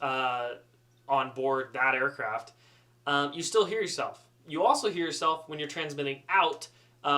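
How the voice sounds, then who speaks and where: A man telling a casual, humorous story in an animated, chatty, self-deprecating way. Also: fairly high pitch. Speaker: student pilot storyteller.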